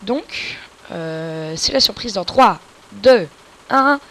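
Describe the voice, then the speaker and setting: A woman's voice: medium pitch, lively intonation, slow pace. A woman with a cold giving casual, crude, joking gameplay commentary and explaining tactics to viewers as a let's play gamer. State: has a cold.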